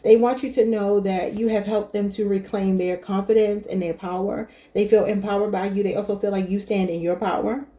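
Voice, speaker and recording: Chatty woman with a mellow voice. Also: medium pitch, little pitch movement, fairly quick, hardly any pauses. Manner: chatty, thinking aloud, addresses viewers as y'all. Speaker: tarot reader. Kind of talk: casual tarot reading to camera.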